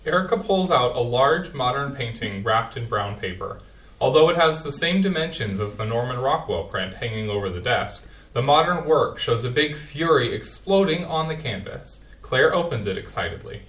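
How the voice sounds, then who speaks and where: A stage-directions reader with a mid-pitched voice and a plain, even delivery. The voice sounds set back from the mic and echoes around the stage. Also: normal speed, far from the mic. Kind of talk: reading stage directions aloud.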